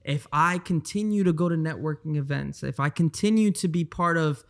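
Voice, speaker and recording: Gravelly-voiced young man, earnest. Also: fairly high pitch, normal speed. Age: 20s. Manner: earnest, repeats words while thinking. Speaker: guest, trader. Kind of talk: casual podcast interview. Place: bright high-rise living room. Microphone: handheld mic.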